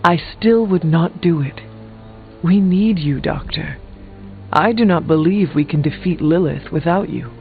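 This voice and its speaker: A man playing a sincere, steadfast hero in a video game, speaking in a formal, measured way. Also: a little high, vocal fry. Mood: earnest. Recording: tinny band-limited game audio with music.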